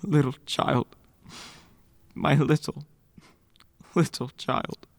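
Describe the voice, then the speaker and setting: Guy as a gentle heartbroken dad, creaky slow halting, dry sound, radio play. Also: fairly high pitch, fairly even intonation, no echo, exaggerated voice. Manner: halting, tender, mournful. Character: gentle, heartbroken father mourning his child. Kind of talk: old-time radio drama acting.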